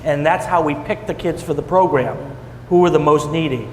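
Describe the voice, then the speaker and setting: A man's voice: a little high, normal speed. A man speaking bluntly and emphatically on a panel about a teaching program. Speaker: education program leader. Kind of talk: panel discussion. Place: conference hall. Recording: echoey hall with faint noise.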